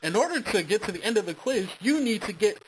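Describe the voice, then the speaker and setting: Cheeky cutesy quiz-host critter, voiced by a man, swinging pitch. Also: animated, fast talker, a little hiss or hum, low-quality recording. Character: sassy smarmy animated quiz-host creature.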